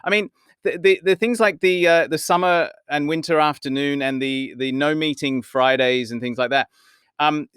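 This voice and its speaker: A man's voice: a little high, a bit bright, clean tone, no room sound. A man hosting a podcast who asks long, rambling questions and repeats words as he frames them. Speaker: podcast host. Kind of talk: interview questions. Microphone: video podcast mic.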